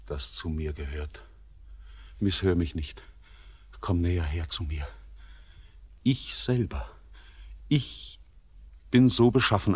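Husky, haunted young miner, hushed and anguished, lowish voice. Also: male voice. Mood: troubled. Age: young adult. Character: haunted young male miner, torn and feverish. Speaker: Elis, the miner. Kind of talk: classic radio play acting.

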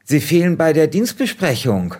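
Young male police assistant, ambitious, formal, reproachful, raised pitch, audio drama read by a man. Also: very animated, normal speed, darkish tone. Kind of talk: script table reading.

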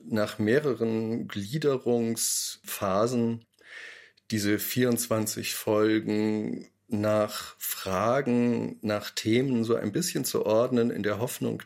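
A man's voice: on the low side, gravelly, darkish tone. A man who makes radio drama, explaining the structure of a production. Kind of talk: explanatory making-of statement.

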